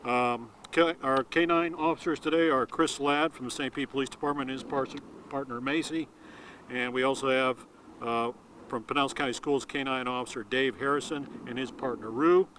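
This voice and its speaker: A man acting as a police spokesman and emcee, introducing guests haltingly and stumbling over names. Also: medium pitch, fairly even intonation, a bit bright, a little hiss or hum, poor audio. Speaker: police media relations officer, emcee. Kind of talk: informal introductions at press conference.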